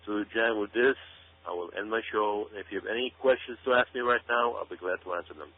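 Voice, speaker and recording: Low-voiced man on a phone-like radio line. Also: fairly quick. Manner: emphatic, repeats words and phrases. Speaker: talk show guest commentator. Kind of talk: conspiracy-minded radio commentary. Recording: narrow, phone-like radio sound.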